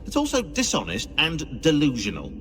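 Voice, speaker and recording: A man's voice: on the high side, wildly swooping pitch, moderate pace, background noise. An actor playing a pedantic, sardonic male historian in a video game, speaking with exasperated emphasis. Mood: exasperated, scornful. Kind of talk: video game cutscene dialogue.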